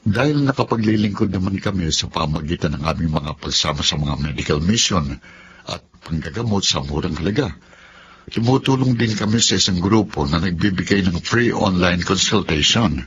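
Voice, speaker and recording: Calm honest filipino doctor, really low voice, radio drama, dull noisy recording. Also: male voice. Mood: gently firm. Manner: measured, earnest, polite. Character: principled, calm married doctor, gently firm. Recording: dull lo-fi audio with background noise.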